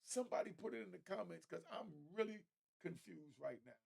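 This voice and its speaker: A man with a noticeably gravelly voice offers casual, opinionated commentary. He speaks emphatically, circles back to repeat his points and drops in street slang. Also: sits high, animated, fairly quick, a little mellow. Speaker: commentator reacting to a news clip.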